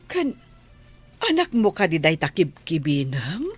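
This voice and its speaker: Slow, low, concerned older woman acted by a woman. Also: huge pitch swings, faint music behind, full character voice. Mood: caring, concerned. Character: kind, concerned older woman helping a young mother. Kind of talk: audio drama dialogue. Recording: clear background noise.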